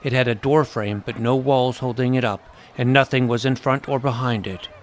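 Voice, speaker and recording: A man playing an earnest young hero who tells his own fantasy adventure in measured, literary first-person narration. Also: medium pitch, slight background noise, natural voice. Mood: tense storytelling. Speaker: narrator and lead character. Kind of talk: first-person scripted storytelling, audio drama. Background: music under the voice.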